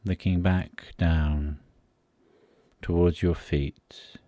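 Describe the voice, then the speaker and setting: A deep-voiced man guides a relaxation meditation at an extremely slow pace. His delivery is soothing and measured, with short phrases set apart by pauses. The tone is dark and mellow. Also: fairly even intonation, no echo. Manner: soothing, unhurried, pausing between short phrases.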